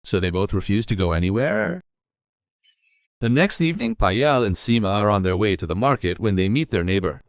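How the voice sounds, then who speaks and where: Male storyteller, low register, scripted narration, close dry recording. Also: lively intonation, fairly quick, no echo.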